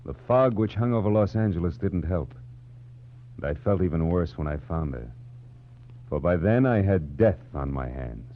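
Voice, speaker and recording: An actor playing a cynical, world-weary private detective, narrating tersely with an American accent in a 1940s radio noir style. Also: male voice, low voice, expressive, moderate pace, noisy background. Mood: ominous. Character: cynical hard-boiled male private detective narrating his case. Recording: muffled, phone-like 1940s radio recording with music. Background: dramatic music.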